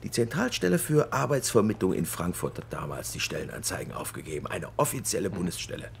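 An actor playing an impatient, grumbling German engineer in a radio drama, sullen and contemptuous, stiffly formal with others. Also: male voice, sits low, animated, fast, gravelly, a little hiss or hum, exaggerated voice. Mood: indignant. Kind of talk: naturalistic radio drama acting with muttered inner monologue.

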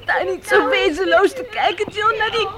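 A woman's voice: very high voice, normal speed, a crowd in the background. A woman acting a caring, anxious young pioneer girl with an emotional manner in a radio drama. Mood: anxious, tender. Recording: very noisy old radio drama recording.

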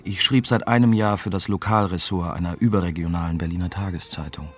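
An actor playing a young, wry German reporter, narrating a radio drama in a dry, matter-of-fact way. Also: male voice, low-pitched, fairly even intonation, fast talker, soft background music, lightly acted. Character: young, wry male German newspaper reporter recounting his story. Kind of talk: scripted first-person radio drama narration.

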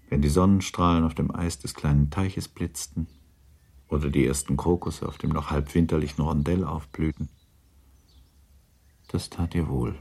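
Male narrator, extremely low voice, dark mellow tone, literary storytelling, restrained, measured. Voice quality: husky, mellow. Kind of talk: literary scripted narration for radio drama.

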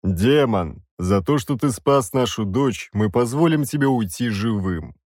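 A man's voice: mid-range voice, lively intonation, unhurried, darkish tone, no room sound, clean studio sound. A man voicing an irritable, haughty king who talks in an imperious, grumbling way.

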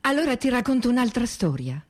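An actress playing a reflective woman storyteller in radio theatre, speaking in a theatrical, deliberate manner. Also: fairly high pitch, very animated. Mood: intimate storytelling.

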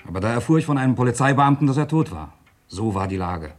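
An actor in a German radio crime drama playing a suspected, disinherited son, earnestly and emphatically justifying himself. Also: male voice, mid-range voice, animated, fast talker, lightly acted. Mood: defensive. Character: disinherited son under suspicion, defensive and calculating.

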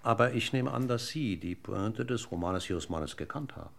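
A man acting a police inspector in a German radio crime drama, questioning in a deliberate, courteous and insistent way. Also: lowish voice, animated, gravelly, fairly bright tone, exaggerated voice. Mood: politely probing. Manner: deliberate, courteous interrogator's delivery. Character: male police inspector, probing, methodical, politely insistent.

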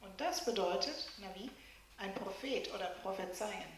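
A woman works as the live interpreter for a guest preacher, rendering each phrase promptly. Her voice sits at an ordinary middle pitch. The church around her is strongly reverberant, with faint background noise. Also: expressive, normal speed. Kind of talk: live sermon interpreting into German. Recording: very echoey church hall.

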